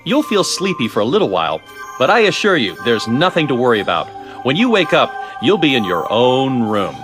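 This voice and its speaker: An actor playing an eccentric, cheerful scientist in a video game cutscene, theatrical and gleeful with precise phrasing. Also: male voice, mid-range voice, huge pitch swings, a little fast, music in the background. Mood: soothing, playful. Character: eccentric, cheerful male scientist, giddy about data.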